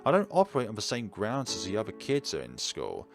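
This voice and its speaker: A man's voice: lowish voice, huge pitch swings, a little fast, music in the background. A man reading an anguished, self-blaming teenage schoolboy who pleads and narrates his own story in a visual novel. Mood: despairing.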